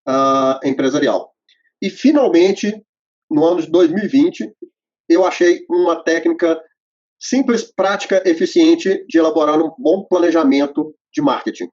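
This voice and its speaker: A man's voice: on the high side, darkish tone. A man presenting a step-by-step method to accountants in an explanatory, instructional way, with frequent fillers and restarts. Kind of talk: instructional conversation. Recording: muffled lo-fi audio.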